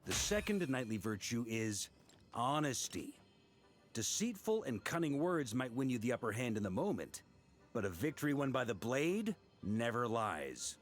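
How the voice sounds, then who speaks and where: An actor playing a seasoned, easygoing knight commander in a video game, with a measured, declarative delivery. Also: male voice, medium pitch, very animated, lightly acted. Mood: solemn, assured. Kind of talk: video game character acting. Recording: music behind the voice.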